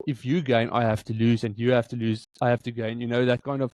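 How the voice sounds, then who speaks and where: A man with an Afrikaans South African accent, interviewing a guest with halting questions full of fillers. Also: medium pitch, flat delivery, a little mellow, no echo, poor audio. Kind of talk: interview conversation.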